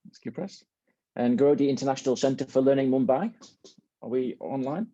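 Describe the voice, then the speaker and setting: A man's voice: medium pitch, fairly quick, dark tone, dry recording. A man hosting a student symposium on a video call, informal and a little hesitant, often rephrasing as they go. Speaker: host and moderator of a student symposium. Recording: dull, dry video-call audio.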